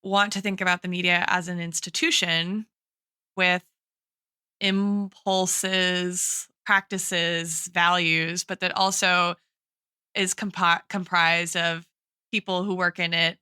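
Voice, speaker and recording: A woman's voice: fairly low pitch, level tone, slow, bright, forceful tone, clean tone, no room sound, clean studio sound. A woman in a thoughtful literary podcast discussion, reflective and analytical, often checking in with "right" and "like". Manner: reflective, analytical, hedging with frequent tags like "right" and "like". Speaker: podcast host and guest expert, a teacher.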